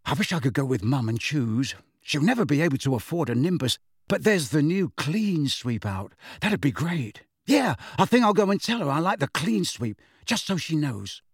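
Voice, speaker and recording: Teenage younger brother for an audiobook, read by a man: awkward, muttering, hesitant, hopeful, animated pitch. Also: unhurried, no echo, clean studio sound, full character voice. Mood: doubtful, then hopeful.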